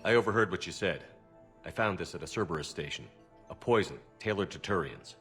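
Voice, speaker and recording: An actor playing a firm, principled military commander in a video game, speaking in a measured, direct way. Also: male voice, deep voice, expressive, fairly bright tone, soft background music. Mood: helpful. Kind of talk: video game cutscene dialogue.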